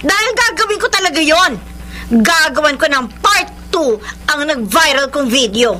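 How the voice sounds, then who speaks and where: Shrill, scheming desperate Filipino woman. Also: animated, moderate pace, sharp tone, slight background noise. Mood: threatening, shrill. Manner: melodramatic, confrontational. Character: desperate, scheming pregnant woman threatening her ex-lover. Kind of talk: Filipino radio drama acting.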